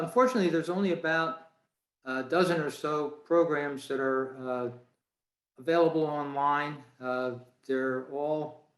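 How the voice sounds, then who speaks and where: A man presenting informally to a club over a video call, in a hesitant, halting way. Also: medium pitch, roomy sound. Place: online video meeting.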